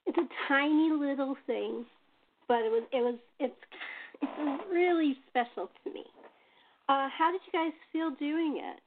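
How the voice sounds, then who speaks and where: A woman's voice: sits high, measured pace, a little hiss or hum. A woman hosting a casual chat show, speaking informally with guests. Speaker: host. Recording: thin, phone-like line.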